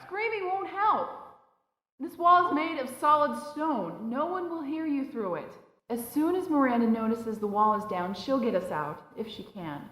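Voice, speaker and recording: A woman's voice: high voice, lively intonation, a bit bright. Woman playing a grave, level-headed scholar, echoing chamber. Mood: grave, calm. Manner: measured, cautious, ominous. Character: grave, level-headed woman who knows ancient Egypt. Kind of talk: audio drama acting. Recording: echoey stone chamber reverb.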